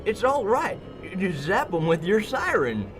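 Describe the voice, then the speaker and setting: A man's voice: high voice, huge pitch swings, unhurried, over loud music, full character voice. A man voicing a manic, fawning cartoon dog, rambling and erratic, in an old-time radio drama parody. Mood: placating.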